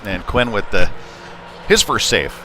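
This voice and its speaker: A man's voice: fairly low pitch, a little slow. A man calling a live youth hockey game rinkside in a conversational, hesitant way that lifts with the action. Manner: conversational, hesitant, rising excitedly on shots. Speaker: play-by-play hockey commentator. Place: rinkside at an indoor hockey arena. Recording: noisy rink ambience behind voice.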